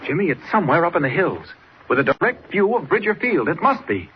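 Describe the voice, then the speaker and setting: An actor playing a sharp, decisive, brave reporter hero in a 1940s radio serial, brisk and commanding. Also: male voice, on the high side, very animated. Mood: urgent, decisive. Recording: dull old radio recording, faint noise.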